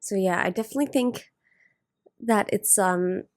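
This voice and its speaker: A woman hosting a reaction video with casual, off-the-cuff commentary, halting as she thinks aloud. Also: medium pitch, no room sound, studio quality.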